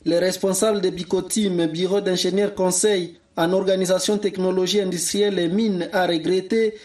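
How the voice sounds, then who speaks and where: A man's voice: high-pitched, level tone, normal speed, darkish tone. A male correspondent narrating a regional news report in a formal, scripted way.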